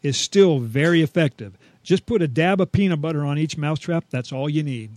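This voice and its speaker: A man's voice: a little high, fairly quick, a little mellow, no room sound. A man co-hosting a home improvement show, giving folksy advice with wry, scripted banter.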